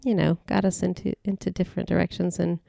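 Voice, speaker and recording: A middle-aged woman from Tennessee, a podcast guest recalling her family in casual conversation, halting often and restarting her sentences. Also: on the low side, flat delivery, vocal fry, dark tone, no echo, studio quality. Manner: rambling, halting with many restarts and fillers.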